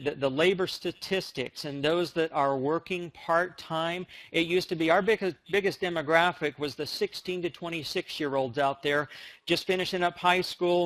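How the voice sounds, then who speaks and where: American man with a higher-pitched, dry-sounding voice. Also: a bit bright, no room sound. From United States. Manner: enthusiastic, emphatic. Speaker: conference call presenter. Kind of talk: motivational sales pitch on a conference call.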